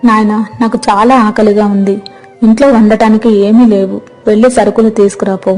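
A woman's voice: medium pitch, fairly even intonation, moderate pace, a little mellow, faint music behind, natural voice. A woman playing a weary, long-suffering mother of grown sons in a plaintive, fretful way for a moral story. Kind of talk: acted moral story dialogue.

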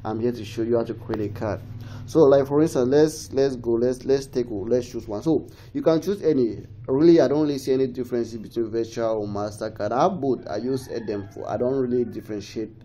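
Man with a cold, mellow voice. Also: medium pitch, a little fast, slight background noise. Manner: rambling, restarts often, pleading with viewers. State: has a cold. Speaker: tech tutorial host. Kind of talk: casual screen-recorded tutorial.

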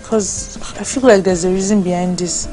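An actress playing a woman who calms a caller and phones her friend, speaking mixed with English. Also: fairly low pitch, normal speed, soft background music, close to an everyday voice. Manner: polite and soothing on the phone, mixes Yoruba and English. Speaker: friend of Desi. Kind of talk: Nollywood drama acting.

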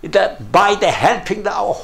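An older man with a Korean first language preaching a sermon to camera, slow and emphatic, often calling out hallelujah, in broken English. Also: sits high, big pitch swings, creaky, fairly bright tone. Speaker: pastor. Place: plain studio room, indoors.

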